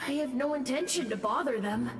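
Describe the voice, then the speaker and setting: A woman voicing a gentle, grateful young female ghost who speaks softly and wistfully in a video game. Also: high-pitched, a little fast, big-room sound, soft background music, natural voice.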